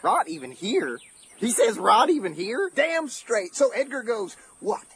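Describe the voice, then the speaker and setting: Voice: medium pitch, very animated, unhurried, a bit bright, a little hiss or hum. An actor voicing a cocky, mocking turkey vulture with a theatrical, folksy drawl in an audio horror comedy.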